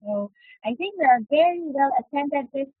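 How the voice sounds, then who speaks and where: A woman's voice: sits high, lively intonation, moderate pace, no echo. A woman with a Filipino accent hosting an online webinar, making polite and formal announcements with fillers. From Philippines. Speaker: webinar host. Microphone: computer mic on a video call. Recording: tinny call audio, dry.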